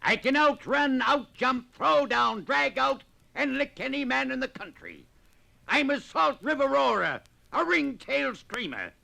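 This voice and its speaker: Gravelly, roaring keelboat braggart. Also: male voice, very high voice, expressive, unhurried, sharp tone, exaggerated voice. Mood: roaring boastful. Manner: bragging, bellowed declamation. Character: boastful, brawling 19th-century keelboatman, loud and rowdy. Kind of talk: 1950s radio drama acting.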